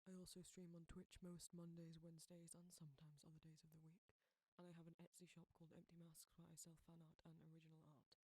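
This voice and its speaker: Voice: mid-range voice, monotone, fast talker, hardly any pauses, a little mellow, husky, poor audio. An audio creator delivering a scripted channel outro and reading out credits.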